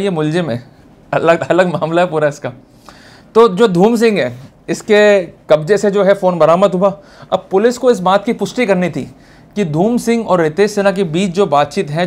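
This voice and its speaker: A man with an Indian accent and a notably high voice teaches like a lawyer at the front of a class. He explains informally but with emphasis, putting questions straight to his listeners. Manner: emphatic, addresses listeners directly with checking questions. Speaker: lawyer giving law lectures.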